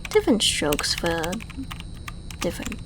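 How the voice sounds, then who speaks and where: A woman's voice: lowish voice, huge pitch swings, noisy background. A woman playing a suave, flirtatious secret agent in an ASMR roleplay, speaking to the listener in a playful, polite and coolly menacing way. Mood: playful, amused. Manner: playful, polite, coaxing, unhurried.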